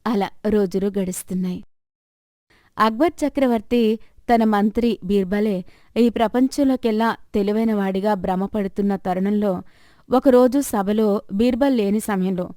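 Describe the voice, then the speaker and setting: A woman's voice: medium pitch, normal speed, dark tone, dry recording, clean studio sound. A woman narrating a scripted moral story as the storyteller.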